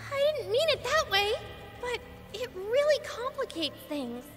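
A woman voicing an earnest, feisty young heroine in a video game, expressive in delivery. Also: high voice, a little slow. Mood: distressed. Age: young adult. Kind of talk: video game cutscene acting. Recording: long hall reverb, music underneath.

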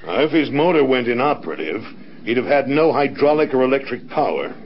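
A man acting in a radio drama as a measured, resolute air force colonel. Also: mid-range voice, big pitch swings, lightly acted. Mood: measured, analytical. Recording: dull, noisy vintage radio recording.

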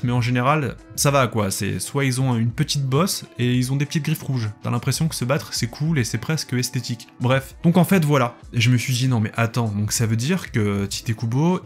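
A man giving casual, joking news commentary as a YouTuber, in an excitable, slangy way. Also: medium pitch. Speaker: YouTuber presenting anime news. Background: music.

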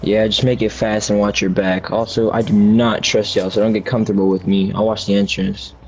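A man's voice: fairly low pitch, flat delivery, fairly quick, close to an everyday voice. Man playing curt survivor, noisy audio. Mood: guarded. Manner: curt, guarded. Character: wary, bossy armed male survivor stranger. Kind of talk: improvised video game roleplay.

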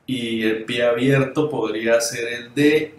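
A man's voice: medium pitch, fairly even intonation, far from the mic, a little hiss or hum. A man giving an online dog grooming lesson over slides, explaining step by step, often checking in with his students and restarting words. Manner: halting, repeats and corrects words. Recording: echoey room, voice off-mic.

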